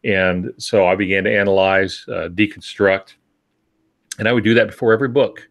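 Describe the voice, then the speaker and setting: A man's voice: on the low side, steady tone, normal speed, fairly bright tone. An older man giving writing advice in casual podcast talk, with a wry, self-deprecating, folksy manner. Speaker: podcast co-host and novelist.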